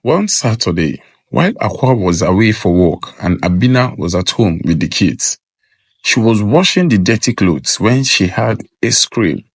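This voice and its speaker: A man's voice: on the low side, sing-song, measured pace, breathy edge, dry recording. A man narrating a scripted folktale as a storyteller.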